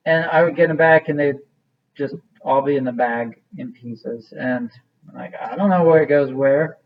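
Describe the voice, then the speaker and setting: A man's voice: medium pitch, moderate pace, lo-fi sound. A man teaching a hands-on craft demonstration in a casual, informal way, thinking aloud as he works. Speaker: art teacher.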